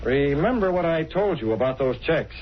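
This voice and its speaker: A man playing a brisk, shrewd, politely probing gentleman in a vintage radio drama. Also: on the high side, animated, lightly acted. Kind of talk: 1930s-40s radio drama acting. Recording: muffled, hissy vintage radio recording.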